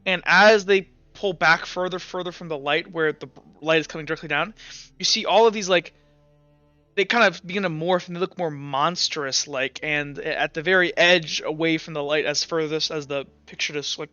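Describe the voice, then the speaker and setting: A man acting as game master, improvising tabletop narration in halting descriptions full of fillers and repeats. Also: fairly high pitch, pushed and bright, smooth, no room sound. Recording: muffled lo-fi mic with music. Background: Latin chant music.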